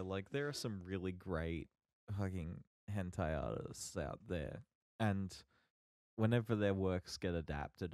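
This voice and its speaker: Animated, slow-talking low-voiced man. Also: dry recording, studio quality. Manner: halting, sweary, emphatic. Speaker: podcast co-host. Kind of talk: casual podcast discussion of media.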